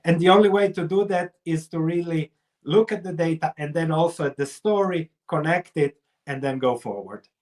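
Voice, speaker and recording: A man's voice: on the high side, normal speed, darkish tone. A middle-aged man with a Croatian accent explains things step by step as an investor being interviewed. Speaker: investor and researcher, interview guest.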